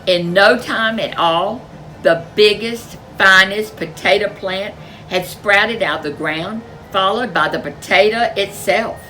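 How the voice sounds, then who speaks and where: A woman reading a folk tale aloud as a storyteller, expressive and animated. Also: medium pitch, slow, a little hiss or hum, low-quality recording. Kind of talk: storybook read-aloud narration.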